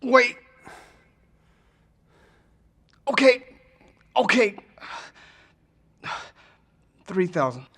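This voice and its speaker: A man's voice: very high-pitched, big pitch swings, a little slow, fairly bright tone, husky, full character voice. An actor playing a shady, cowardly male criminal seller in an audio drama. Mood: frightened, placating.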